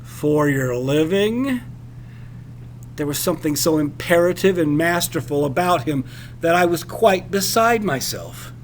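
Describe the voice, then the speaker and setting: A man reading a literary passage as expressive scripted narration, with dramatic, deliberate phrasing. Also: fairly high pitch, a little hiss or hum.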